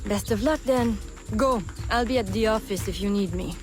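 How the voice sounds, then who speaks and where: A woman's voice: mid-range voice, moderate pace, music in the background, natural voice. An actress playing a wry, worldly female smuggler, teasing and knowing, in a video game cutscene. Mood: candid, concerned. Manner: teasing, knowing, peppered with French.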